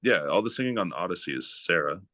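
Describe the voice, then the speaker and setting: A man from New England with a strikingly low, deep voice speaks as a guest on a podcast. He rambles loosely and fills his sentences with "like" and "you know". The sound is dry and close, with no room echo. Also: fast. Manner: relaxed, rambling with many "like" and "you know" fillers. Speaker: guest guitarist. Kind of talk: casual podcast interview.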